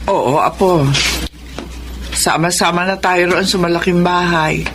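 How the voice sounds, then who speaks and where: A woman's voice: lowish voice, steady tone, a little slow, noisy background, natural voice. A woman playing a kindly, motherly grandmother in a Filipino radio drama. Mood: doting, reassuring. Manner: coaxing, motherly. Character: kindly, doting grandmother comforting her grandchild.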